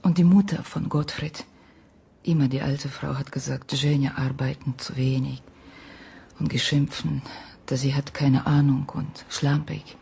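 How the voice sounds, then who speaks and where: An actress playing a sorrowful Ukrainian woman in a radio drama, speaking halting, broken German in a breathy half-whisper with an Eastern European accent. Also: extremely low pitch, fairly even intonation, warm tone, full character voice. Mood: wistful. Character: sorrowful Ukrainian woman recounting a lost love. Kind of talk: radio drama acting, intimate storytelling.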